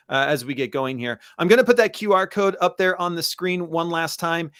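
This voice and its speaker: Male webinar host, slightly high-pitched, enthusiastic and emphatic, conversational delivery, dry sound. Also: no echo. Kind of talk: conversational educational webinar talk.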